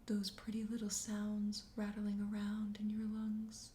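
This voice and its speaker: A woman voicing a teasing, flirtatious, self-assured vampire host in a soft, breathy ASMR whisper. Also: mid-range voice, completely flat delivery, lightly acted. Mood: teasing, menacing. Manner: teasing, slow, coaxing. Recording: roomy echo, faint background noise.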